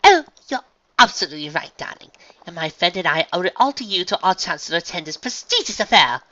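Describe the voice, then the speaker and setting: A man voicing a refined, excitable female unicorn fashion designer in an affected, gushing, dramatic way for a story. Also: high-pitched, brisk, dull audio, voice pushed up, exaggerated voice. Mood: gracious.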